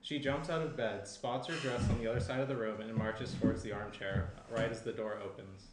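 A man's voice: lowish voice, fairly even intonation, a little fast. A young man reading stage directions as the narrator of a screenplay table read. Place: indoor room, seated reading from tablet. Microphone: shared desk microphone, some distance. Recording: roomy echo, faint background noise.

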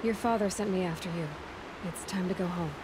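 A woman's voice: lowish voice, brisk, noisy background, close to an everyday voice. A woman playing a determined young huntress in a video game, controlled and matter-of-fact. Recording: loud game sound over voice. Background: loud game ambience and noises.

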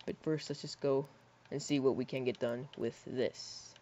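A hoarse young man in his teens rambling casually while playing a building game, with lots of fillers and soft mutters. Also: mid-range voice, steady tone, moderate pace. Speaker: gaming YouTuber. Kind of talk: casual gameplay commentary. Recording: slightly dull lo-fi mic.

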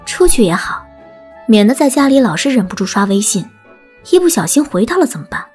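A narrator as cool, deadpan young woman. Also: a little high, fairly quick, faint music behind. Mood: hesitant. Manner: cool, curt, deadpan replies. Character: aloof, wry young woman, a reluctant friend. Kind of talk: audiobook character acting.

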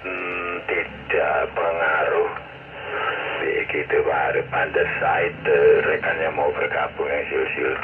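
A very deep, slow-speaking man with a Javanese accent comes through a radio receiver. His voice sounds narrow and phone-like, and loud static hisses behind it. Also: level tone. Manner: easygoing, gives signal reports. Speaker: radio caller. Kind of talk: casual two-way radio chat.

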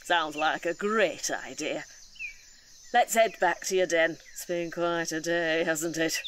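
A male actor playing a calm, weary, gently chiding caretaker in an audio drama. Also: a little high, slow. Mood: reassuring. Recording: audible outdoor nature noise.

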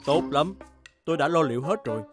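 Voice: mid-range voice, fast talker, lightly acted. An actor voicing a proud, stubborn, easily flustered teenage boy in a children's cartoon, brusque and defensive. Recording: loud music behind voice.

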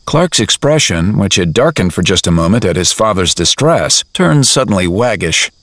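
A man's voice: fairly low pitch, sing-song, moderate pace, fairly bright tone, no room sound, studio quality. A man reading scripted dramatic narration for an audio drama. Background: music.

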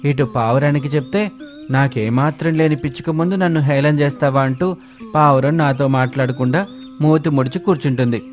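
A man's voice: medium pitch, a little fast, natural voice. A man voicing a troubled, reluctant crow in a children's cartoon story, explaining himself in a weary, plaintive way. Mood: troubled, resigned. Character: troubled, reluctant male crow caught between two admirers. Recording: music bed under the voice.

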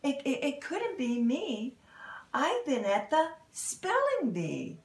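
A woman's voice: sits high, huge pitch swings, takes it slowly, far from the mic, full character voice. A woman voicing a flustered female squirrel from a children's storybook, stammering as she defends herself. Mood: flustered, defensive. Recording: roomy, echoey home recording.

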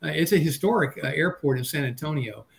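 An older man chatting as a panelist on a video call, halting and repeating the starts of his words. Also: animated, vocal fry. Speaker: panelist and experienced pilot. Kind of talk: casual panel chat. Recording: noisy video call with low rumble.

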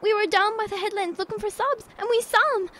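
An eager young lad, played by a woman, speaking very high. He is urgent and excitable, in the manner of a wartime radio play. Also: fast. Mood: excited, breathless. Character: excitable, urgent wartime English boy, best pal. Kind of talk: radio drama acting.

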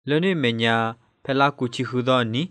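A man's voice: moderate pace, a bit bright, no room sound. A man reading scripted radio news. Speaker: news reader.

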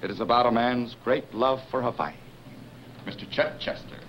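A man's voice: mid-range voice, normal speed, fairly bright tone, natural voice, poor audio. An actor playing a male nightclub host formally announcing a song premiere to a crowd. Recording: old film soundtrack with light hiss.

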